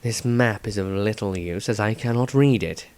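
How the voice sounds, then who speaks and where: A man voicing a brooding, wary adventurer who speaks in a grim, hushed way in a fantasy diary story. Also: lowish voice, moderate pace, dry recording, pitched below the performer's own voice, natural voice. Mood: wary, suspicious. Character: brooding, wary male adventurer, low and guarded.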